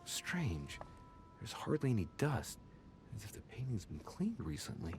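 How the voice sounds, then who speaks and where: An actor playing an inquisitive young man investigating a mystery in a video game, musing aloud in a deliberate, theatrical way. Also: mid-range voice, very animated, darkish tone, slight background noise. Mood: musing, curious. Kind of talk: dramatic game narration, thinking aloud.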